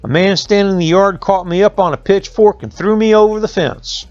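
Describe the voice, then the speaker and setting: A man playing a battered, panicky backwoods highway robber telling his woes in a storybook tale. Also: brisk, darkish tone, dry recording, highs cut off, faint music behind, close to an everyday voice. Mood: panicked, wailing.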